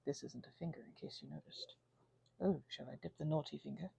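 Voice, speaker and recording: An anxious, panicky woman rambling casually as a vlog host, halting and drifting off on tangents, with playful theatrical flourishes. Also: low-pitched, creaky, mellow, a little hiss or hum. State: unwell. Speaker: ASMR channel host.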